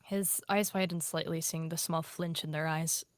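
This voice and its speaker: A woman narrating her character in an animal roleplay in a quiet, plain way. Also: sits low, steady tone, moderate pace, dry recording, studio quality. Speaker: roleplay player.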